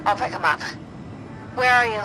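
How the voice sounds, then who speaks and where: An actor playing a brisk, commanding female ally in a video game, dryly exasperated. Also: on the high side, background noise, natural voice. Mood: urgent, exasperated.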